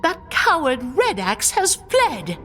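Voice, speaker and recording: Young female elf warrior, bold, proud, challenging, deliberate pace, lively intonation, game drama, music behind. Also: high-pitched, heavily acted. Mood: urgent. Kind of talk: dramatic video game acting.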